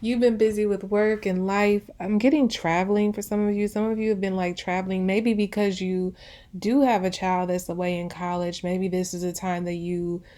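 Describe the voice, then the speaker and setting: A woman's voice: mid-range voice, little pitch movement, moderate pace, mellow. A woman with a casual, conversational delivery giving an intuitive pick-a-card reading, often circling back to rephrase her thoughts as she goes. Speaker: tarot reader, host.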